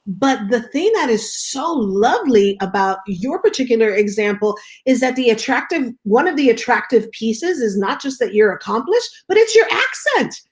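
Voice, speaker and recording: Woman host, slightly high voice, chatty and full of praise for the guest. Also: very animated, moderate pace. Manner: admiring, talkative. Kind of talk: casual interview chat.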